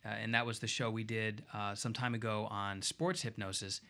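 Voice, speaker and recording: A man speaking as a radio talk show host, explaining his points in emphatic lists with deliberate pauses. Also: lowish voice, level tone, sharp tone.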